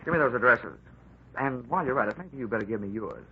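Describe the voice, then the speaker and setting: A man's voice: mid-range voice, lively intonation, fast, natural voice. A man playing a wisecracking American investigator. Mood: dry. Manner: dry, wisecracking. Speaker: narrator and lead. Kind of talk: 1940s radio acting. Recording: noisy lo-fi old radio recording.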